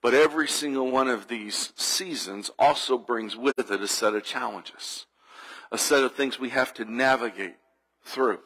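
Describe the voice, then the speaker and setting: Man, pastor, sermon, bright tone, earnest, reflective, repetitive phrasing, church sanctuary. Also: mid-range voice, unhurried, breathy edge, poor audio.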